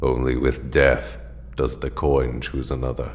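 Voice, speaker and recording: An extremely low-voiced alien mentor in an audio drama. He is ancient and grave, speaking with formal, measured solemnity as the last survivor of his people. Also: flat delivery. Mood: solemn, commanding.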